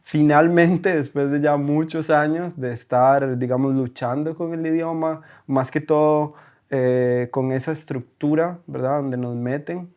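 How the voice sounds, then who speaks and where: A man's voice: a little high, fairly even intonation, measured pace, darkish tone, smooth. A man from Costa Rica, a German teacher, telling his story as a podcast guest, with many hesitations and tag questions. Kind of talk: casual conversational interview. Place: recording in Berlin.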